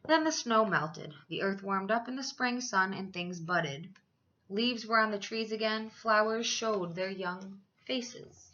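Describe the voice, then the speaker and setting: A woman's voice: medium pitch, unhurried, pushed and bright, highs cut off. A woman reading a children's story aloud as a teacher, expressively, with dramatic emphasis for young listeners.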